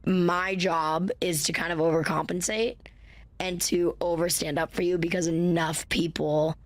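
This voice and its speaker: A woman's voice: fairly low pitch, steady tone, unhurried, no room sound. A woman speaking earnestly and reassuringly in an interview clip. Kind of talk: interview conversation.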